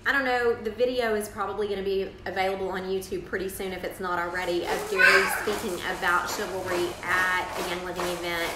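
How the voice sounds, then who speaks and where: A woman in her thirties talks through products in a casual home review, filling pauses with ums as she explains. The room rings with echo. Loud background noise sits under her voice the whole time. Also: medium pitch, flat delivery. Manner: explanatory, hesitant with frequent ums. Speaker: co-host reviewing wellness products. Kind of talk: informal product review chat. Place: living room, sitting on a couch.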